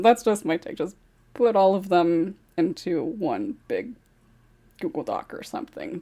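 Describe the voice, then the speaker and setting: A woman's voice: fairly low pitch, darkish tone. Laughing woman moderating a podcast chat. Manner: laughs often while talking. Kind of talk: casual chat.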